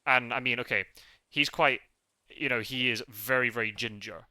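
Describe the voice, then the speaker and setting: A British man who grew up in the north of Scotland, talking casually and softly with many restarts. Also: medium pitch, steady tone, normal speed, no room sound. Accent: British English with Scottish upbringing. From England. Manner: soft-spoken, rambling with many restarts. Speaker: podcast host. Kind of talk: casual conversation.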